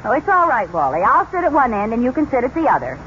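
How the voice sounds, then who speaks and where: High-pitched, animated wry wife. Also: female voice, quick pace. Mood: encouraging, wry. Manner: theatrical, wisecracking. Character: wry, kindly, exasperated married woman. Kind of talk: 1950s radio sitcom acting. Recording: muffled, noisy old radio recording.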